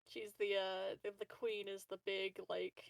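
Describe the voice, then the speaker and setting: A woman talks casually as a player in a tabletop game. Her voice sits at a middle pitch, slightly hesitant in delivery, with a dry, close sound. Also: flat delivery, unhurried, vocal fry, no room sound.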